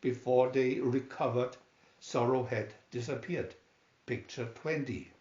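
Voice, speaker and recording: Male storybook narrator: unhurried, theatrical emphasis, mid-pitched, roomy home recording. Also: darkish tone, lo-fi sound. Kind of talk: dramatic scripted narration.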